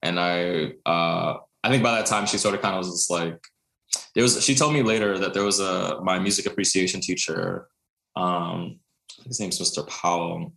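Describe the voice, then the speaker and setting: Deep-voiced Brooklyn man in an echoey room. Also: normal speed, creaky, sharp tone. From Brooklyn, New York. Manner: chatty, many fillers, laughs often. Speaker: guest, contemporary dancer. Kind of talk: casual interview storytelling.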